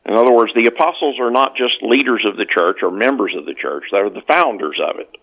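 A man talks as a guest author in an interview. He explains things in a conversational way, often backing up to repeat or rephrase a word. His delivery is halting as he works through each point. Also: on the low side, expressive, creaky, a bit bright, muffled recording. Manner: halting, with repeated self-corrections.

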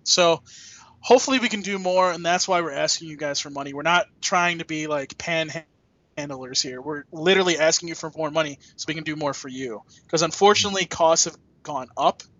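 A man's voice: a little high, fairly quick, fairly bright tone, no echo. A man hosting a podcast in an informal, joking, salty-tongued way, chatting casually. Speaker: podcast host. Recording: dull, dry, lo-fi voice track.

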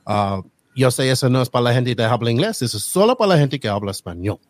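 Host, male, native English speaker, halting Spanish with English slips, dry close sound. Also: medium pitch, animated, no room sound. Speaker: podcast host. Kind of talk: casual podcast intro.

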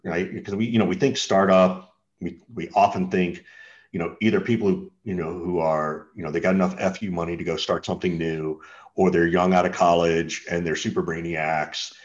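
A man's voice: low-pitched, level tone, normal speed, dull audio. A man hosting a podcast, asking curious, rambling questions. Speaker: podcast host. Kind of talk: casual podcast conversation.

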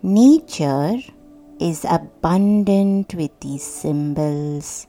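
Very slow, soft dark-voiced woman guiding meditation. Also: sits low. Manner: soothing, hushed, deliberate with long pauses. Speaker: meditation guide. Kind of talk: guided sleep meditation, scripted narration. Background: soft meditation music.

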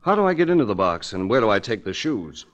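A man's voice: lowish voice, sing-song, fast, lo-fi sound. A man playing a suave, wry hard-boiled investigator in a 1940s radio drama, speaking in a dry, urbane, wisecracking way. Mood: dry, sober.

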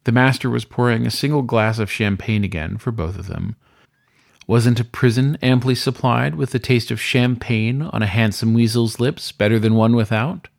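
A man's voice: mid-range voice, moderate pace, vocal fry, no room sound, clean studio sound. A man narrating a scripted short story in a dramatic storytelling style.

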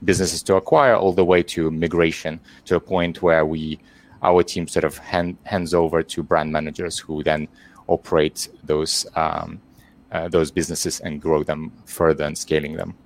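Webinar guest: middle-aged man, Ukrainian accent, very low pitch, halting delivery. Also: flat delivery. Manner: hesitant, many fillers and restarts. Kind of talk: webinar interview.

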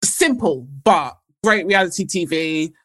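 A woman's voice: fairly low pitch, animated, measured pace, fairly bright tone. A woman co-host giving recommendations in an enthusiastic, informal chat. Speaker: podcast co-host.

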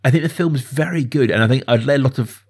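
A man talks casually about film as a podcast host. His voice sits at a middling pitch and has a husky, slightly rough edge. He leans on phrases and repeats them for emphasis. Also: fast, a little mellow.

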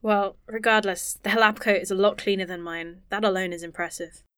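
A woman's voice: mid-range voice, fairly even intonation, a little fast, fairly bright tone, clear, resonant tone, no room sound, studio quality, close to an everyday voice. A woman acting in an audio drama as an earnest, anxious scientist who rambles self-consciously. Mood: dry, self-deprecating.

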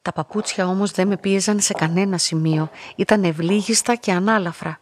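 A woman playing a lonely, submissive young narrator in a literary radio drama, speaking in a measured, introspective way. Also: medium pitch, fairly even intonation, slight background noise, close to an everyday voice. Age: young adult. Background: music.

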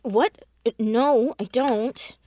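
A woman playing an anxious, defensive, bookish fourteen-year-old girl in an audio drama, stammering and halting. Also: fairly high pitch, mellow. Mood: nervous, awkward. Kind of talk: naturalistic audio drama acting.

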